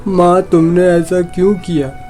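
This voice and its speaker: A man's voice: high voice, level tone, a little slow, mellow, soft background music. A man playing a bewildered, good-hearted young village son in a children's story, earnest and questioning.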